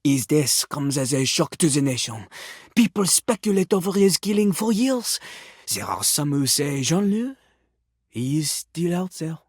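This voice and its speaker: A husky French-accented male charmer from a video game, vain and theatrical. The delivery is slow and grandiose with big melodic swings. The recording is close and dry. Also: deep voice, very animated, darkish tone, dry recording, exaggerated voice. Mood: dramatic storytelling. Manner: grandiose, flirtatious, speaks of self in third person. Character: vain, dramatic French toilet, a male aspiring rapper.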